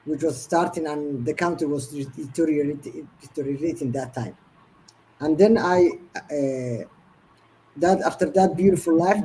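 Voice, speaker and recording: A woman's voice: low voice, warm tone, a little hiss or hum, rough recording. A woman in her fifties from Somalia, a guest in an online interview, telling her story earnestly in long run-on sentences. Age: 50s.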